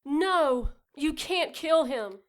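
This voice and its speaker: A woman's voice: high voice, lively intonation, extremely slow, exaggerated voice. A woman playing a frightened but defiant pregnant young woman in an audio drama, emotional, naive and protective. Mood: protective panic.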